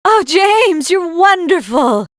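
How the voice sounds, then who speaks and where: An actress playing an excitable female spy's companion in a video game, emotive and exclaiming. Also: extremely high pitch, slow, dry recording, studio quality, full character voice. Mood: delighted. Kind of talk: scripted video game voice acting.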